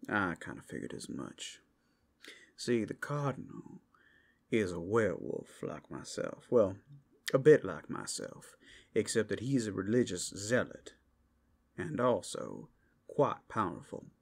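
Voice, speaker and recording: Man acting a low, sly, flirtatious werewolf. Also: sing-song, unhurried, gravelly, long pauses, exaggerated voice. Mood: casual, cautioning. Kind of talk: ASMR roleplay acting.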